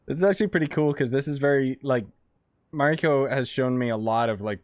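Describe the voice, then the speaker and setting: A man's voice: mid-range voice, brisk, a little mellow, no room sound. A man giving casual, informal, slangy commentary as he plays through a game. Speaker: game streamer.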